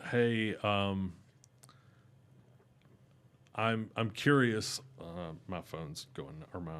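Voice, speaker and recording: Loud-voiced man telling a story. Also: very slow, clear, resonant tone. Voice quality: loud voice that carries. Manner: animated, rising in pitch when excited. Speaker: podcast guest. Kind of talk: casual storytelling interview.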